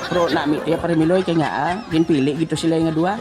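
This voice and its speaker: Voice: medium pitch, steady tone, fast. A talk show host with a Western Visayan Philippine accent, chatting casually in a jocular, bantering way. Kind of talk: casual joking chat. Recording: loud music bed behind the voice. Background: music.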